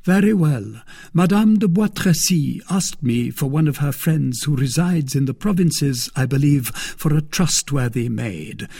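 A man voicing a courteous, discreet gentleman musketeer, formal and polite, in an audiobook story. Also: a little high, lively intonation, a little slow, gravelly, husky, pitched above the performer's own voice, full character voice. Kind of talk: dramatised audiobook reading.

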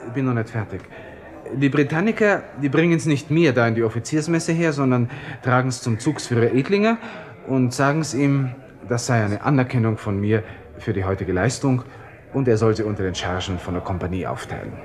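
An actor playing a brooding, self-pitying young army lieutenant with a Viennese accent, musing and halting in a radio play monologue. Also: male voice, expressive, natural voice. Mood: crisp commanding. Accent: Austrian, Viennese. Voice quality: low-pitched. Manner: musing, halting, talking to himself.

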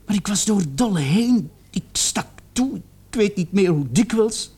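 An actor playing a distraught young man in a radio play, confessing haltingly and repeating his words in agitation. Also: high-pitched, lively intonation, a little mellow, breathy edge. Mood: anguished, desperate.

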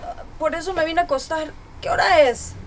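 Woman acting gentle prim mom, highish voice, radio soap, noisy background. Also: fairly even intonation, a little fast, lightly acted. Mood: tender, weary.

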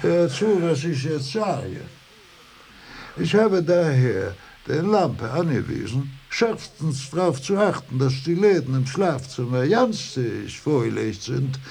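A frail old professor, speaks in a high, creaky voice. He is fussy and imperious, halting as he lays down his orders slowly, as in a radio play. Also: very animated, a little mellow, heavily acted. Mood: stern, fussy. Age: older. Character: frail, pedantic, querulous old philosophy professor, male. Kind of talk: radio drama acting.

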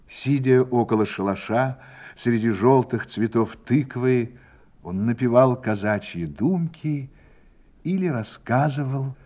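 A man giving scripted first-person narration in a radio play, in a measured, expressive way. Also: mid-range voice.

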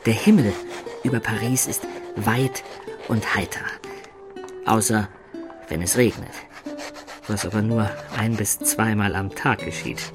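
A man's voice: fairly low pitch, measured pace, pauses often. An actor playing a medieval adventurer who recounts his student youth with wry, ornate storytelling in a literary radio drama. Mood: wry, reminiscing. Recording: studio radio drama with music beds.

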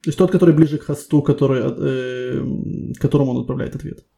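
A man's voice: medium pitch, fairly even intonation, fast talker, gravelly, warm tone. A man conducting a spontaneous technical job interview, asking probing questions one step at a time.

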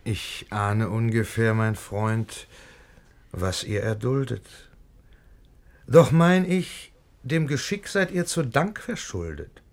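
An actor playing a calm, wry middle-aged gentleman, speaking courteous, measured rhymed verse in a radio play. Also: fairly low pitch.

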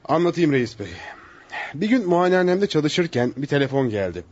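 A man's voice: fairly high pitch, sing-song, normal speed, a little mellow. An actor playing an earnest, resolute male psychiatrist in a radio theatre drama. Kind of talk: Turkish radio theatre acting. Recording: muffled lo-fi radio drama recording.

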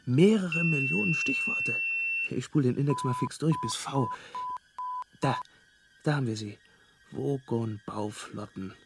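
Breezy, gravelly alien hitchhiker man. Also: medium pitch, measured pace, long pauses, very noisy. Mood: breezy, explaining. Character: laid-back male alien hitchhiker, breezy and knowing. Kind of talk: German radio drama acting.

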